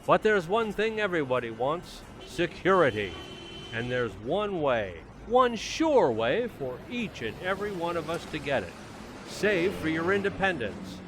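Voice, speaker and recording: A man's voice: a little high, sing-song, unhurried, a little hiss or hum. A man playing an eager, self-reproaching family man in a vintage-style radio comedy, with an earnest, theatrical and easily flustered delivery. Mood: speechifying. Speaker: community theater actor.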